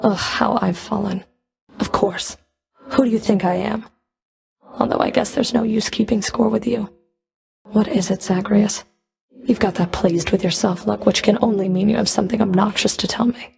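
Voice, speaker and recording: A woman's voice: medium pitch, fairly even intonation, normal speed, creaky, a little mellow, breathy edge, full character voice. A woman playing a stern, scornful underworld fury in a video game, with a cold, sardonic, taunting delivery. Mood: weary, sardonic. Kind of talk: scripted video game character dialogue.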